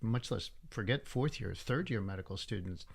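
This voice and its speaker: Older male surgeon, sixties, from Cuba, easygoing storyteller with a slightly low voice. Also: moderate pace, vocal fry, a little hiss or hum. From Cuba, raised in Miami. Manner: relaxed storytelling with many fillers. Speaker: orthopedic surgeon guest. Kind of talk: casual interview chat. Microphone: headphones with studio mic.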